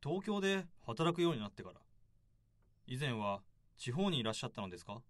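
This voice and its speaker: A man's voice: mid-range voice, very animated, brisk, dry recording, lightly acted. An actor playing a worldly, jaded male expert, speaking with pompous courtesy and theatrical phrasing in a radio drama. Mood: jaded, inquisitive. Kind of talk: Japanese radio drama dialogue.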